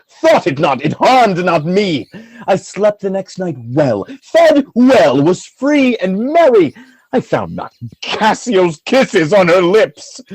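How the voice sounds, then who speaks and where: A man playing a jealous, tormented general in a Shakespearean radio drama, husky and declamatory, swinging between hushed brooding and outcry. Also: high voice, no echo, heavily acted, rough recording.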